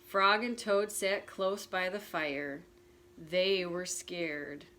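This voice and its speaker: A woman teacher reading a picture book aloud to young children, gentle and clear. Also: lowish voice, steady tone. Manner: gentle, slow, clearly enunciated. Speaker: preschool teacher.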